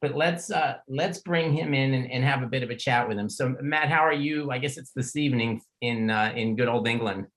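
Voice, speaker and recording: Middle-aged male interview host, medium pitch, informal and conversational, unpolished everyday delivery. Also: brisk, few pauses, a bit bright. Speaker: podcast host.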